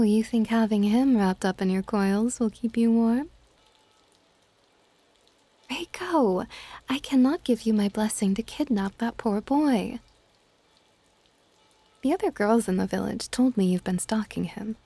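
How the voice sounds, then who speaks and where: A woman playing an older dragon goddess in an ASMR roleplay, soft-spoken and formal, stately and gently exasperated. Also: medium pitch, a little slow, long pauses, dry recording. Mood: gently scolding. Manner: soft-spoken, breathy asides, formal wording.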